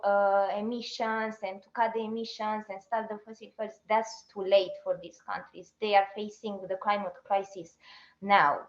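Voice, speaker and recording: A woman from Romania giving an online talk as an activist, pausing and restarting her sentences often. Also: mid-range voice, reverberant, highs cut off. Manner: halting, with restarts and fillers. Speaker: activist speaker.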